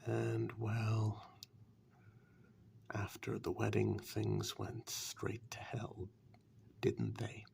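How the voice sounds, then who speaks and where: Man voicing a slow, gravelly, whispery warlock. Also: lowish voice, flat delivery, darkish tone, slight background noise, exaggerated voice. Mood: mocking, accusing. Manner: slow, deliberate, taunting with rhetorical questions. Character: condescending, reclusive male warlock in a dark fairytale. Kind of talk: soft-spoken ASMR role-play monologue.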